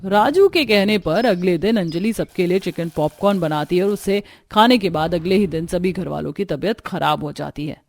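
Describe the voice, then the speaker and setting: A woman's voice: fairly low pitch, moderate pace, few pauses, a little mellow. A woman narrating a scripted family story as a storyteller.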